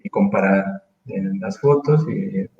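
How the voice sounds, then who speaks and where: A man in his thirties from Mexico, speaking as an interview guest in casual conversation, thoughtful and full of pauses and restarts. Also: fairly low pitch, vocal fry, roomy sound, muffled recording. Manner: thoughtful, hesitant, many fillers and restarts.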